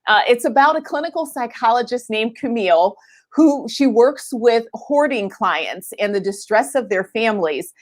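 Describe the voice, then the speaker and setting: Higher-pitched woman hosting a casual live chat. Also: animated, moderate pace. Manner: chatty, informal, addresses viewers directly. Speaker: host of a live online book chat.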